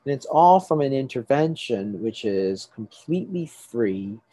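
Man, middle-aged doctor, husky, dry close sound, explaining, restarts often. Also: mid-range voice, expressive, unhurried, dark tone, no echo. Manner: explanatory, with frequent restarts and "right?" checks. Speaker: doctor treating patients. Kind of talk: interview guest explaining.

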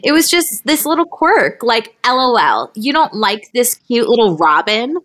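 A woman's voice: on the high side, big pitch swings, normal speed, fairly bright tone. A woman on a podcast reading a comic first-person story aloud in a conversational way. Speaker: podcast reader.

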